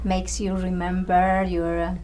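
A woman's voice: lowish voice, monotone, measured pace, dark tone. A woman co-hosting a TV talk show, speaking hesitantly with fillers in a studio. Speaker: co-host. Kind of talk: casual studio chat.

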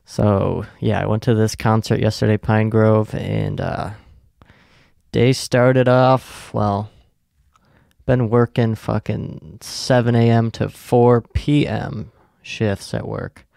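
Tired young male podcast co-host, slow gravelly delivery, crude and laid-back, close dry mic. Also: on the low side, fairly even intonation, darkish tone, no echo. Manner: profane, laid-back, trailing off with fillers. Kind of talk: casual rambling monologue.

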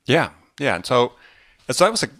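A man's voice: mid-range voice, very animated, normal speed, fairly bright tone, dry recording. A man speaking as a podcast host, explaining things conversationally in a rambling way with frequent asides. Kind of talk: conversational book discussion on a podcast.

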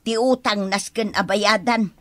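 A woman's voice: medium pitch, fairly even intonation, unhurried, fairly bright tone, no echo, natural voice. A woman playing a gossipy, pushy neighbour who collects debts in an Ilocano radio comedy drama, with an Ilocano accent and exclamatory, sing-song delivery. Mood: insistent.

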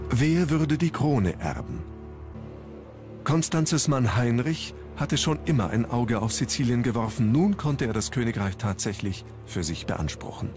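A man reading scripted documentary narration as the narrator of a history programme. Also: on the low side.

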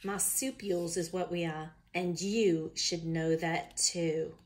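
A woman's voice: on the low side, steady tone, slow. A woman voicing an exasperated, indignant storybook koala in an attempted Australian accent, blunt, grumbling and emphatic. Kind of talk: children's picture book read-aloud.

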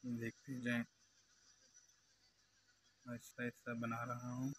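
Young male artisan host, Pakistani accent, very flat pitch, narrating his handiwork step by step over clear workshop noise. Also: takes it slowly. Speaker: glass engraver, channel host. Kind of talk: live craft demonstration commentary. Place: glass cutting workshop. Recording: noisy workshop background hum.

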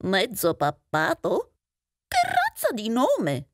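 A woman's voice: medium pitch, huge pitch swings, measured pace, no room sound, clean studio sound. A woman voicing a trusting, puzzled male mouse in a fairy tale, with a questioning, exclamatory way of talking. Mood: baffled.

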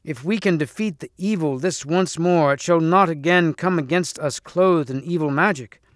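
A man's voice: a little high, normal speed, no room sound, clean studio sound, pitched above the performer's own voice, lightly acted. A man voicing a loyal, gallant knight from a legend, earnest and chivalrous in archaic speech. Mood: earnest, hopeful.

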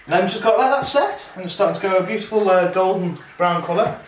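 Male cooking host, 30s, slightly high voice, chatty, recorded from across an echoey kitchen. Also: quick pace, a little hiss or hum. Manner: chatty, talks while working at the stove. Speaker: home cooking show host. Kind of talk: casual cooking demonstration. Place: home kitchen at night, indoors. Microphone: camera mic from across the room. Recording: roomy, distant camera-mic sound.

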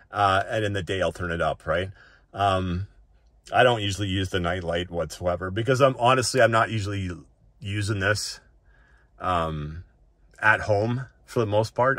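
A man's voice: on the low side, a bit bright, no echo. A man giving a casual, unscripted tech walk-through in an informal way, often checking in with the listener as he goes. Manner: informal, often ends points with a checking "right?". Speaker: tech reviewer presenting a settings walk-through.

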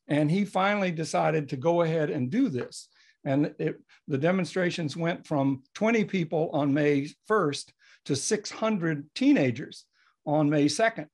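An older man in his seventies with a somewhat high voice speaks off the cuff as a panel guest on a video call. He tells history in a reflective, halting way and leans on key points with emphasis. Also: a little mellow. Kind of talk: unscripted panel remarks over video call. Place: home office, indoors. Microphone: computer mic on video call.

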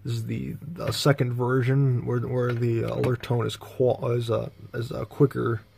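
An American man with a slightly gravelly voice giving a casual, halting, rambling video tour indoors on a handheld phone. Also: mid-range voice, level tone, a little mellow, a little hiss or hum. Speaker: host, hobbyist collector. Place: small bedroom, indoors. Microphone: handheld phone, speaker behind the camera.

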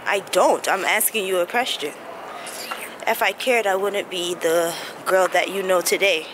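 Young woman, casual vlogger, expressive storyteller, loud street noise behind. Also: unhurried. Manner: animated storytelling, acts out friends in a higher voice. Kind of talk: casual chat. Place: city streets at night and outdoors.

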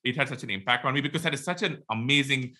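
A middle-aged man with an Indian accent, a podcast guest talking quickly and animatedly on a video call from a home room. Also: mid-range voice. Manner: quick, animated, many fillers. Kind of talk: casual interview talk. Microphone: headphones, video call.

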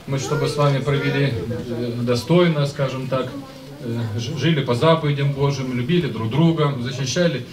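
A man in his fifties, a priest, giving a halting festive blessing to his congregation, pausing mid-phrase. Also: medium pitch, fairly even intonation, a crowd in the background. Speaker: priest, church rector. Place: church school hall, indoors. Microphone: handheld microphone, recorded from a distance. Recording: roomy echoing hall, distant, audience noise.

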